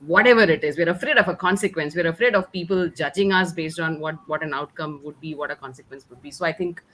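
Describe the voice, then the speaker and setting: Woman on a panel, bit on the low side, emphatic, says things twice to make the point. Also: fast talker, fairly bright tone, poor audio. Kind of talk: conversational discussion.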